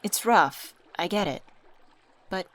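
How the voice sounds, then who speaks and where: A woman voice actor playing a supportive, gently teasing young best friend in a scripted ASMR roleplay, talking casually and intimately. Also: medium pitch, animated, slow. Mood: reassuring.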